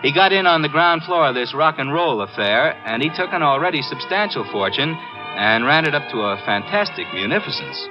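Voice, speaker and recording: A man's voice: on the high side, animated, fairly quick, natural voice. An actor playing a wry, skeptical hipster man in a 1950s radio drama, with dry, slangy and hesitant delivery. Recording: dull old radio recording with music.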